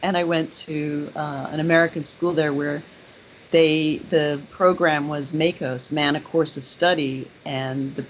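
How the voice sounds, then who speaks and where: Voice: medium pitch, steady tone, a little slow. A person from Greece taking part in a conversational radio discussion. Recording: noisy, slightly dull lo-fi call.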